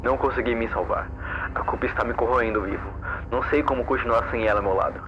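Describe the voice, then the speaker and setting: A man's voice: medium pitch, fast talker, muffled recording, background noise, lightly acted. A man voicing a grieving, guilt-ridden young man turning monster, reading a despairing confession in an analog horror story. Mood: despairing, remorseful.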